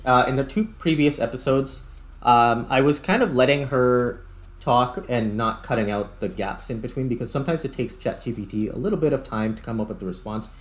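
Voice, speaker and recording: A man's voice: mid-range voice, brisk. A man giving casual, informal let's-play commentary, thinking aloud as he hosts a gaming video. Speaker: host of a gaming series. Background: background music.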